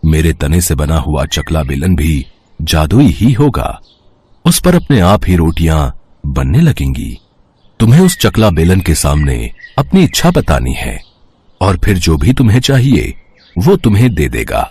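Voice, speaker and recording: A man's voice: a bass voice, sing-song, measured pace, a little mellow, breathy edge, heavily acted. A man playing a kindly, fatherly magical tree in a Hindi cartoon story. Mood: kindly, reassuring.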